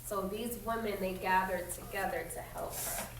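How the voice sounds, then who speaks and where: A woman teacher reading a storybook aloud expressively to young pupils, emphatic and instructive, pausing to explain and ask questions. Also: mid-range voice, fairly even intonation. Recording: echoey room, distant mic, faint noise.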